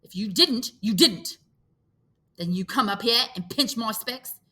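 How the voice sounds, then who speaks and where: A woman's voice: fairly high pitch, expressive, measured pace, bright, forceful tone, voice pushed up, heavily acted. A woman voicing a fretful, indignant schoolboy who talks in pleading, breathless outbursts.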